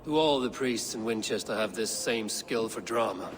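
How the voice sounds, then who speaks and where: An actor playing a bold, defiant Norse warrior in a video game, speaking in a measured, sardonic way. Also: male voice, on the low side, natural voice. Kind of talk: dramatic video game acting. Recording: noisy, long hall reverb.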